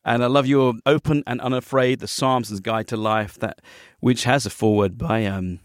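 A man's voice: mid-range voice, fairly even intonation, moderate pace, no echo, studio quality. A man hosting a casual podcast interview, joking about himself as he goes. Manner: jokey, self-deprecating. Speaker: podcast host.

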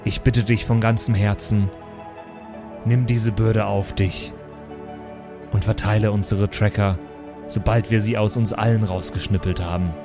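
A man's voice: on the low side, steady tone, unhurried, long pauses, music in the background. A man improvising in a role-playing game in a playful, theatrical manner. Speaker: player in a tabletop role-playing podcast. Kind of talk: improvised role-play talk.

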